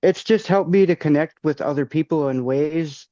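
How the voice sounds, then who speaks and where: A man in his forties, talking as a guest in a casual interview. His voice is a bit high, and he speaks softly and hesitantly with many ums. The audio is dull and dry, like a remote call. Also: normal speed, dry recording. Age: 40s. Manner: soft-spoken, hesitant, lots of ums. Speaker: podcast guest.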